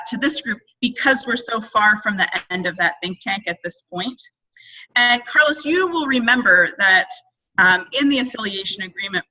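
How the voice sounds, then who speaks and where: A woman leads a group conference call in a bright, projected voice. Her wording is formal and deliberate, with halting phrases as she works through each point. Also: mid-range voice, muffled recording. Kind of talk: formal organizational meeting talk.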